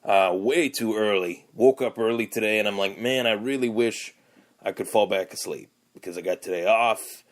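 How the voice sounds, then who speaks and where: Young man hosting a podcast solo, a bit hoarse and raspy, lowish voice, loose and rambling. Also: normal speed. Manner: rambling, with many fillers and restarts. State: hoarse from yelling. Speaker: podcast host. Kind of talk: casual solo sports podcast.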